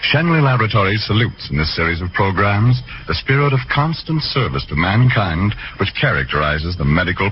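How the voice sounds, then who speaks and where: A man's voice: medium pitch, noisy background. An American man announcing formally and promotionally in scripted 1940s radio style. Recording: muffled 1940s radio broadcast, music behind.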